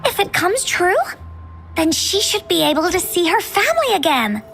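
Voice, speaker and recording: A little girl adventurer with a very high, bubbly, childlike voice. She tells stories expressively, as video game dialogue with music underneath. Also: sing-song, normal speed, vocal fry, fairly bright tone, exaggerated voice. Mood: pensive. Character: chirpy, imaginative little girl adventurer and storyteller.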